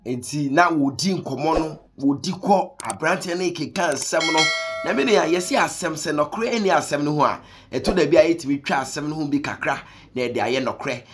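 A man's voice: on the high side, moderate pace, slight background noise. A young man with a Ghanaian accent, hosting reaction commentary and mixing Twi with English in a conversational way. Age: young adult. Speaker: online show host. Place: small room indoors.

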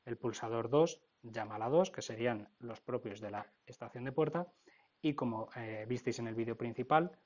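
A man's voice: medium pitch, a little mellow. Man, Spain Spanish accent, tech instructor, informal tutorial narration, explanatory, dull lo-fi recording. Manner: explanatory, often checking with "vale?". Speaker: technical instructor.

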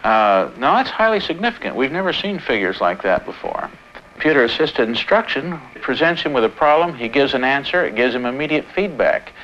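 A man's voice: mid-range voice, sing-song, a little fast, a bit bright. A man in his fifties, an educator explaining emphatically in an office interview on old film. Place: book-lined office. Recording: dull old film, faint noise.

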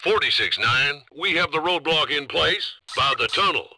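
Game police officer, intense and clipped, very forceful, lively pitch, over radio. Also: male voice, normal speed, slightly rough, no room sound, exaggerated voice. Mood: urgent. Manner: clipped, urgent. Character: intense, urgent male highway patrol officer. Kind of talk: video game radio chatter.

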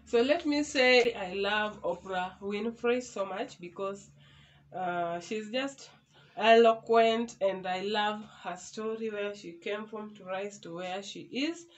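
A woman's voice: mid-range voice, slow pace. A young woman from Kenya with a Kenyan accent, chatting casually to the camera in a Q&A vlog from a small room. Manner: chatty, animated hand gestures. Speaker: YouTuber. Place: small indoor room, in front of a door.

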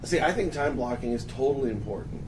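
A man in his thirties hosts a casual panel, his voice gravelly and worn hoarse. He talks in halting bursts full of restarts. The mic sits far off and the line is noisy. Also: mid-range voice, expressive. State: hoarse from a long three days. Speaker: host. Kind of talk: panel discussion. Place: office room with a panel.

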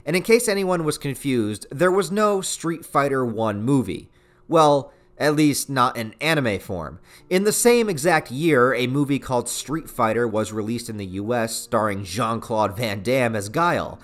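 A man's voice: medium pitch, lively intonation, unhurried. A man in his thirties with a North American accent, narrating a scripted but conversational review with casual, sarcastic asides. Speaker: video essayist, channel host.

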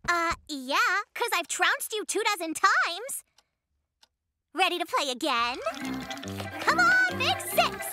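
Tiny gloating fox girl, really squeaky, teasing, loud music behind. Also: sing-song, takes it slowly, long pauses, exaggerated voice. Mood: smug. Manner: boastful, teasing. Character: cocky, gloating little girl fox in a cartoon. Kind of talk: animated children's cartoon acting.

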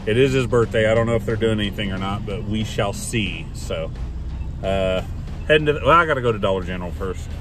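A man's voice: lowish voice, a little fast. American man vlogging, music behind. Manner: rambling, thinking aloud. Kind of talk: casual vlog chat. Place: inside a car while driving. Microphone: camera or phone on the dashboard.

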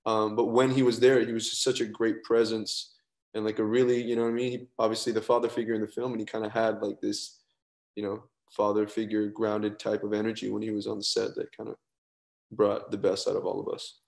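A young man, an actor, chatting casually over a video call in a relaxed, rambling way. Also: lowish voice, a little fast, gravelly. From Colombian family. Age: young adult. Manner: laid-back, rambling, trailing off. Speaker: actor guest. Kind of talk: casual interview chat. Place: indoor room, video call.

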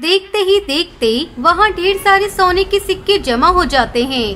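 A woman's voice: extremely high pitch, lively intonation, moderate pace, pushed and bright. A woman narrating a scripted children's story as the storyteller. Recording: clear background noise and ambience.

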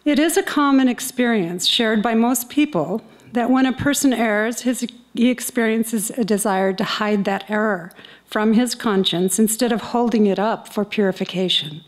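Older woman reading scripture, measured and solemn. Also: fairly high pitch, rough recording. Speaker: reader at a church service. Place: indoor chapel. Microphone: stand microphone close to the mouth.

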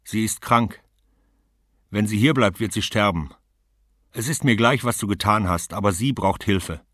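A man reading aloud and voicing a tough, blunt, hardened woman who talks in a forceful, scolding way. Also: deep voice, normal speed, slightly rough, no room sound, clean studio sound. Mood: stern, forceful.

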